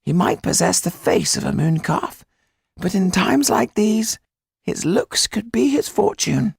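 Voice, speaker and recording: A man voicing a sharp, tetchy, imperious schemer in a historical audiobook, in a creaky falsetto. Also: very animated, a little slow, dry recording, studio quality, heavily acted. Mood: sly. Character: sharp, tetchy Frenchman scheming in a revolutionary cafe.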